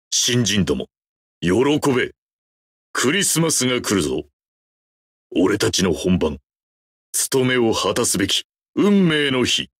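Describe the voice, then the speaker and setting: A man's voice: on the low side, sing-song, takes it slowly, pauses often, fairly bright tone, slightly rough, no echo, studio quality, full character voice. A man voicing a stern, proud horned demon trainer in a mobile game, giving clipped, imperious, grandiose commands. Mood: grand, gloating. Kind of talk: anime game character voice acting.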